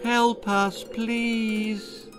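A high, wailing storybook traveller begging desperately , as put on by a male reader. The pleading is urgent and beseeching, with music behind it. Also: little pitch movement, drawn-out words, full character voice. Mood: pitiful.

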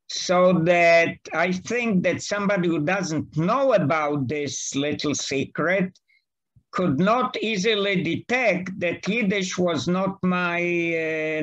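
Older Polish-accented man, native Polish, slow careful guest on a muffled video call. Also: sits high, a bit bright. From Poland. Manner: slow, careful, halting delivery. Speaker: guest speaker. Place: home study with bookshelves. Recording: dull lo-fi video call audio.

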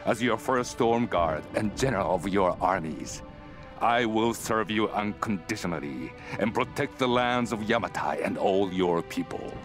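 An ancient army's general for a game, declaims slowly and formally in a lowish voice. He is solemn, devoted and resolute, with music clearly playing behind him. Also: animated. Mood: solemn devotion. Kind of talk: acted oath and speech.

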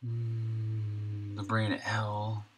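A man in his thirties, a game developer thinking aloud in a halting, musing way at his home desk while streaming his work. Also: on the low side, monotone, slight background noise. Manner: musing, halting, talks to himself.

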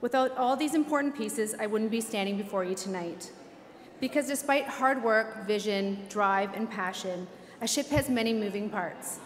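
A woman's voice: medium pitch, moderate pace, a little hiss or hum. A woman in her forties who owns a clinic, giving an earnest, grateful acceptance speech at a podium in a large banquet hall. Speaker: award winner, clinic owner. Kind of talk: acceptance speech and red-carpet interview. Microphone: podium microphones. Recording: echoey banquet hall with music.

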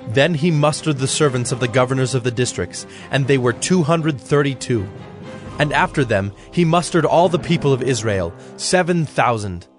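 A man narrating an audio Bible as a scripted, measured storyteller. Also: mid-range voice, animated. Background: music.

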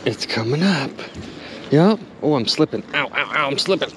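A man narrating his magnet fishing trip outdoors by a river, chatty and excitable, constantly talking to his viewers. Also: mid-range voice, moderate pace, background noise. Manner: excitable, chatty, keeps addressing viewers as "you guys". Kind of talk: excited outdoor vlog commentary.

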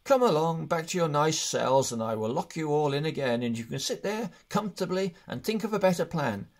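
A man voicing a small hobbit burglar who talks in a brisk, bossy, exasperated way in a storybook reading. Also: a little high, lively intonation, pitched above the performer's own voice. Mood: annoyed, downcast. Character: small male hobbit burglar, urgent, put-upon and testy.

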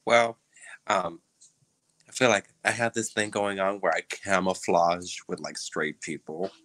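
Man from puerto rico, podcast guest, very low voice, bright tone, dry sound, earphone mic. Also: steady tone, measured pace, dry recording, poor audio. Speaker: guest, go-go dancer. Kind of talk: casual video-call interview.

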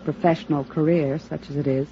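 A dull, hissy 1950s radio recording of a world-weary woman comic. Her voice sits on the low side. She delivers biting wit with a bitter deadpan. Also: fairly quick. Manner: sardonic, deadpan. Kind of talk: 1950s radio drama acting.

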